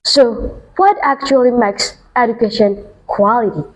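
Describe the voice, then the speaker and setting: A woman with a fairly high voice co-hosts a podcast. She asks questions in a friendly, encouraging way. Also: unhurried, poor audio. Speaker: podcast co-host. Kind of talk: student podcast hosting.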